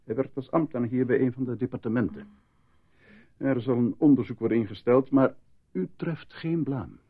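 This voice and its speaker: An actor playing a calm, formal male doctor at a military hospital, soothing and measured, in a radio drama. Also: medium pitch, narrow, tinny audio, natural voice. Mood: explaining gently.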